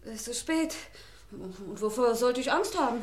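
Jumpy defensive lady in a radio crime play, high pitched, hiding something. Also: lively intonation, measured pace. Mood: nervous, defensive. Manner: defensive, nervous. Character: evasive, intimidated woman hiding something. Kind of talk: German radio crime drama acting.

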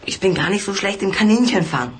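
Anxious, stammering schoolboy. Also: male voice, sits high, brisk, slightly rough. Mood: nervous, earnest. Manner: hesitant, stammering. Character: human schoolboy, anxious, earnest, loyal but protesting. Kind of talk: German radio play acting.

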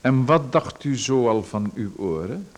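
A man playing a smooth, menacing official in a radio drama, condescending and coaxing. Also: medium pitch, expressive, unhurried. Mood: patronizing. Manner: condescending, coaxing then threatening.

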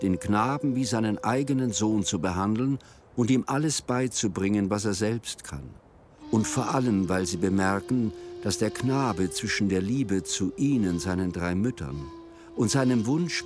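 A man reading scripted storytelling narration for a radio play. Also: lowish voice. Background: music.

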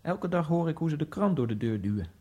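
An actor playing a dead Egyptian immigrant man who narrates his own fate in a radio drama, in a quiet, dry and sardonic way. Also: a little high, expressive, fast, breathy edge. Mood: wry. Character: dead male Egyptian immigrant, wry and detached. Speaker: first-person narrator.

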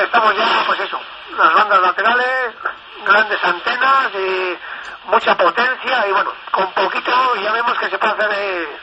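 A man chatting casually over CB radio, enthusiastic and rambling, often repeating himself. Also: high-pitched. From northern Spain. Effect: FM CB radio transmission. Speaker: amateur CB radio operator. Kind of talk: casual radio contact chat. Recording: noisy, dull CB radio transmission. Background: loud radio static and noise.

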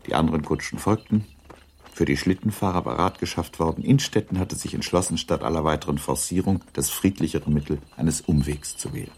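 A man giving a measured, scripted literary narration for a radio drama. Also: rumbling low, a little mellow, a little hiss or hum.

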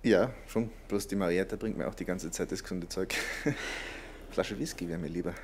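An actor playing a laconic, wry wounded rocket technician in a naturalistic radio drama. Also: male voice, sits low, moderate pace, darkish tone, a little hiss or hum. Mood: wry, downplaying. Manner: offhand, wry, colloquial.

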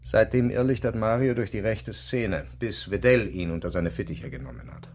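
An actor playing a pragmatic intelligence officer in a radio drama, measured, formal and evasive. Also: male voice, on the low side, a little hiss or hum, close to an everyday voice. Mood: matter-of-fact. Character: smooth-talking, pragmatic male intelligence officer handling informants. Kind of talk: naturalistic radio drama dialogue.

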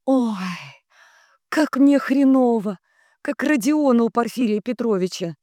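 A woman voicing a hysterical, heavy female psychic medium who wails, bellows and grumbles in drawn-out anguished calls. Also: high voice, animated, no room sound, pitched above the performer's own voice. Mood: moaning.

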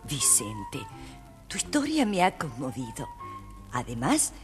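An actress playing a very old, kindly and mysterious woman in a children's audio tale, with a husky, deliberate delivery. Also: deep voice, wildly swooping pitch, full character voice. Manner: slow, deliberate storytelling delivery. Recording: long hall reverb, faint music behind.

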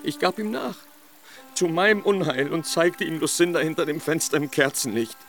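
A heartbroken young nobleman in a radio drama, impassioned and mournful, telling his tale over faint music in a studio production. His voice sits at a middle pitch and rises sharply when the story turns painful. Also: normal speed, a bit bright. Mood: lamenting. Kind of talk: radio play acting.

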